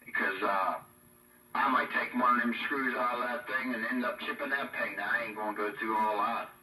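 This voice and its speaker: A man's voice: medium pitch, steady tone, normal speed, pushed and bright, recorded from a distance. A man chatting casually about radio gear in a folksy, colloquial drawl. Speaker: CB radio hobbyist. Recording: echo-effected, roomy sound from off mic.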